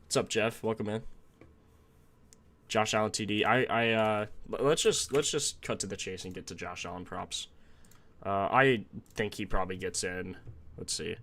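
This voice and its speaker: A young man with a low voice, a touch raspy from a sore throat, hosts a relaxed live stream at his desk. He talks casually and thinks out loud, now and then leaning away from the mic. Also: moderate pace. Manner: chatty, thinks aloud, greets chat viewers. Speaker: sports betting stream host.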